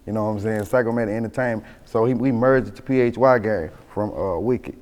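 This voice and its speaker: A man with a Southern US accent in a casual interview, telling stories in animated, slangy talk full of verbal tags. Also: on the low side, fairly quick, long reverb tail. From born in Mississippi, raised in Atlanta. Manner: animated, slangy, repeats "you know what I'm saying". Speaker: rap group member being interviewed. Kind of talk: casual storytelling chat.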